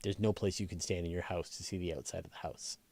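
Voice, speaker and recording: Low-voiced man hosting a casual Q&A. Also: fairly even intonation, fast, creaky, darkish tone, a little hiss or hum. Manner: conversational explainer, thinks aloud, rephrases often. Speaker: host of a live astronomy Q&A, science journalist. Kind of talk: casual live Q&A, reading viewer questions and answering off the cuff.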